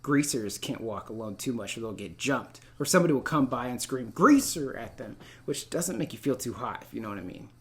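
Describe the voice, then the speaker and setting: A man teaching literature in a read-aloud lesson, speaking in an expressive, informal and encouraging way. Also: medium pitch, a little fast, few pauses. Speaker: middle school literature teacher. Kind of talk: read-aloud lesson, narrating a novel and addressing students.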